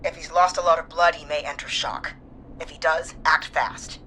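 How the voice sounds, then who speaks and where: An actress playing a calm, no-nonsense nurse in a video game, giving direct, measured instructions. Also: female voice, low voice, slight background noise. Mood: firm, instructive. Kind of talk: dramatic video game voice acting.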